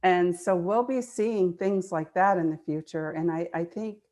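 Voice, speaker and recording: A woman's voice: fairly low pitch, a little slow, warm tone. An older woman speaking conversationally as a guest in an online meeting, explaining things earnestly and repeating words for emphasis. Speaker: guest speaker, nonprofit foundation trustee.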